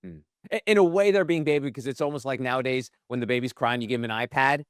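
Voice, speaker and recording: A man's voice: mid-range voice, quick pace, smooth, no echo, studio quality. A man hosting a podcast interview, chatty and jokey as he asks his questions. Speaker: podcast host. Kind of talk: interview, casual chat.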